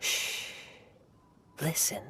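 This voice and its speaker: A man playing a serene, gentle and wry young wandering poet in a video game, speaking softly in measured, formal, poetic phrases. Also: a little hiss or hum, exaggerated voice.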